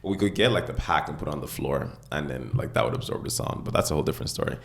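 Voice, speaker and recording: Young male podcast co-host, very low and gravelly, rambling casual delivery, close-miked in a kitchen. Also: racing. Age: 20s. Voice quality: deep voice. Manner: rambling, full of "like" and "you know". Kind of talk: casual chat. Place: home kitchen. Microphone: speaking close into a mic.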